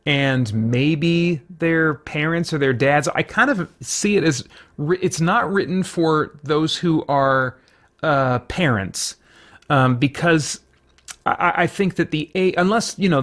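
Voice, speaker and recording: A man hosting a review show, talking casually in unscripted commentary and searching for his words as he thinks aloud. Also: fairly high pitch. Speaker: host of a comic book review show.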